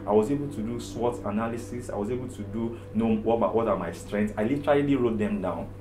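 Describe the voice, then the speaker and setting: Loud, emphatic African man with music. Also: lowish voice, fast talker. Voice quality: loud, strong voice. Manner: earnest, emphatic, repeats phrases for stress. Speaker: problem management therapist. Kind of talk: personal testimony, motivational. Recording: roomy echo with guitar music behind.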